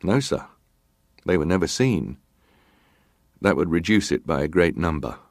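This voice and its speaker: A man's voice: sits low, expressive, a little slow, no room sound, studio quality. An actor playing a stoic ship's officer giving testimony at a formal hearing, clipped and matter-of-fact in his answers. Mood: grave recounting. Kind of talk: dramatized reading of hearing testimony.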